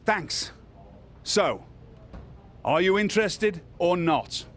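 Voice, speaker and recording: A man playing a businesslike, wry wrestling show boss in a video game cutscene, measured in manner. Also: high-pitched, animated, a bit bright, full character voice.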